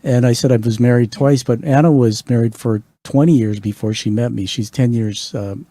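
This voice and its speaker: A man from England hosts a relaxed interview in a mellow, warm voice. He speaks enthusiastically, often restarting his sentences as he gushes about the guest's book. The sound is dry and close to the mic. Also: medium pitch, moderate pace, no echo. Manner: enthusiastic, rambling with restarts and praise. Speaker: podcast host. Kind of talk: conversational interview.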